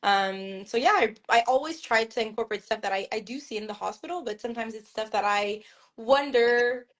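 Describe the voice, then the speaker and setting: A woman's voice: a little high, fairly quick. Chatty woman with a Québécois French accent. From Montreal, Canada. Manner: chatty, many fillers and restarts. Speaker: author guest. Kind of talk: casual video-call interview. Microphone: video call.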